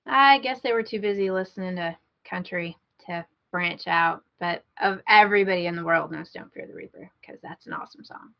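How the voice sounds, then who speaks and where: A woman's voice: lowish voice, brisk, creaky, few pauses, lo-fi sound. A woman co-hosting a casual podcast, chatting informally with a wry manner, recorded over an internet call. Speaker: podcast co-host.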